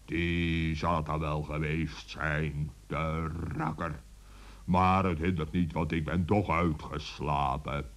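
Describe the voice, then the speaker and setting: Huge kindly giant, extremely deep, very slow and deliberate, children's radio play. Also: male voice, flat delivery. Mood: drowsy, amiable. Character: huge male wizard giant, slow and good-natured.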